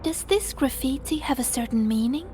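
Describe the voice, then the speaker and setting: A woman voicing an inquisitive, suspicious young girl sleuth in a video game, earnest and deliberate. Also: sits high, lively intonation, mellow, a little hiss or hum. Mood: puzzled, suspicious. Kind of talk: animated video game voice acting. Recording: music under the voice.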